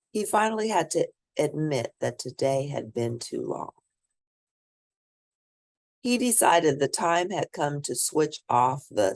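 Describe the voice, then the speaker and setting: A woman with a low-pitched voice reads a children's story aloud. Her delivery is slow and very animated, swinging up and down in pitch, with theatrical stress on key words for young listeners. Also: vocal fry, no echo, studio quality. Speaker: storybook reader. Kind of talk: expressive read-aloud of a children's picture book.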